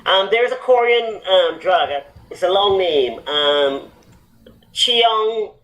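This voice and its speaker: A man's voice: sits high, lively intonation, takes it slowly, a bit bright, poor audio. A man who is a doctor, explaining health advice on a talk show with lots of fillers and restarts. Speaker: doctor answering health questions. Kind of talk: medical advice chat.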